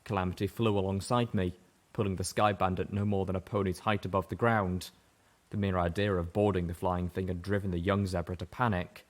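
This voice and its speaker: A man reading a story aloud in first person, steady and measured. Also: deep voice. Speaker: audiobook reader. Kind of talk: first-person narration of fan fiction.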